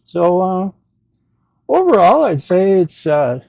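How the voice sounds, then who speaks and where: A man's voice: sits high, very animated, slow pace, gravelly, darkish tone, no echo. A man casually talking through an unscripted gadget review as host, in a rambling, hesitant, self-deprecating way, on a slightly dull recording.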